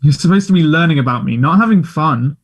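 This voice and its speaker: Man playing a higher-voiced, earnest teenage boy. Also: animated, fast talker. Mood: playful, teasing. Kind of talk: play table read, naturalistic dialogue.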